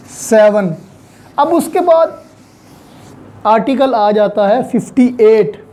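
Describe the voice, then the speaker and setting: A woman with a Pakistani accent and a very dark, mellow voice at a middling pitch. She teaches law in a methodical way, breaking explanations into short phrases and going back over key points. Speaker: law lecturer. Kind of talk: explanatory lecture reviewing legal articles.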